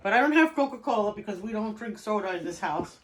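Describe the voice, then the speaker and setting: A woman walks through a home recipe in a relaxed, chatty way, laughing lightly between steps. Her pitch sits in an ordinary middle range. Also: fast talker, rough recording. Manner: chatty, laughs while explaining. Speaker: home cook. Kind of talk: casual cooking demonstration.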